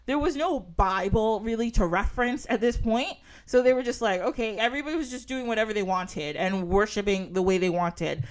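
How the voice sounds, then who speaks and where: Woman hosting a podcast, chatty and giggly, lots of likes and so's. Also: mid-range voice. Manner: chatty, filler-heavy, giggles often. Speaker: podcast host. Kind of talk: casual podcast chat and reading from an article.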